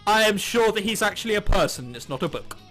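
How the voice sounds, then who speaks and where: A man's voice: sits high, lively intonation, fast talker, a bit bright, faint music behind. A man hosting a gaming channel, reading a visual novel aloud in a theatrical, jokey way with ad-libbed commentary.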